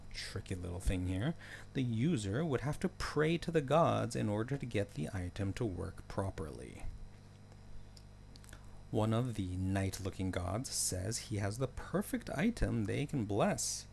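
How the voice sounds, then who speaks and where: A man's voice: on the low side, big pitch swings, measured pace, gravelly, a little mellow, a little hiss or hum. A man narrating a story recap in a casual, loosely scripted way, with wry joking asides.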